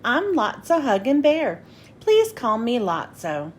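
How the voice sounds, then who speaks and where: Woman voicing a friendly big pink toy bear, high-pitched. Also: wildly swooping pitch, slow, a little hiss or hum, full character voice. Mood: warm, welcoming.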